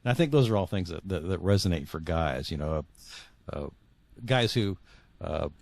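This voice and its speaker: Deep-voiced, earnest man in his sixties. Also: lively intonation, vocal fry, a little mellow, no room sound. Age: 60s. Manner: earnest, halting, repeats words as he searches for phrasing. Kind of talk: reflective conversational interview.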